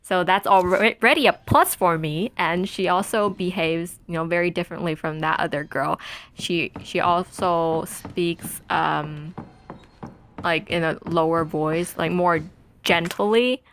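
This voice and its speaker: Slow, rambling woman. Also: on the low side, a bit bright, slight background noise. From Taiwan. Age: 20s. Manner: rambling, chatty storytelling with many pauses. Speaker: let's play gamer. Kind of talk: casual chat while playing a game.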